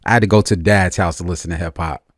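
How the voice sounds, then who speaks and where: A man's voice: low-pitched, fairly even intonation, fast talker, dry recording, studio quality. An American man working as an interviewer, chatting casually in an agreeable, slangy manner. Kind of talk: casual conversation.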